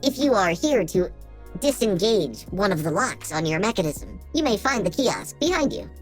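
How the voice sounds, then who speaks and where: A man's voice: sits high, big pitch swings, moderate pace, soft background music, voice pushed up. A man voicing a formal, officious robot drone tour guide in a stilted, ceremonious manner during a tabletop game.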